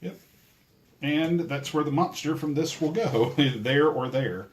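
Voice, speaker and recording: A man's voice: a little high, takes it slowly, slight background noise. A man chatting casually through a tabletop game at a table, joking to viewers now and then. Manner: lively, jokey asides to viewers. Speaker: board game player and show regular. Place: room around a game table.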